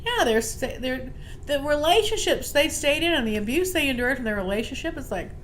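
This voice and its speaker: A middle-aged woman, a therapist, chatting casually and telling stories with lively put-on voices. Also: high voice, a little fast, a little hiss or hum. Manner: animated storyteller, puts on yelling voices. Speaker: therapist and psychic. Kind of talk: casual chat.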